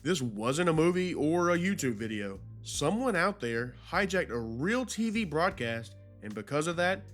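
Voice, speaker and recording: Higher-pitched man narrating casual YouTube commentary. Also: very animated, moderate pace, fairly bright tone, faint music behind. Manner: informal, slangy, conversational. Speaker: YouTube host.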